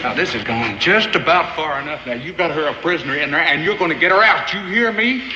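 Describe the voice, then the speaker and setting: A man's voice: on the high side, lively intonation, a little fast, background noise, close to an everyday voice. A man playing a blunt, protective frontiersman. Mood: angry. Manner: blunt, pleading then threatening. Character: plainspoken American frontiersman, protective and hot-tempered. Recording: roomy, dull old TV soundtrack with music.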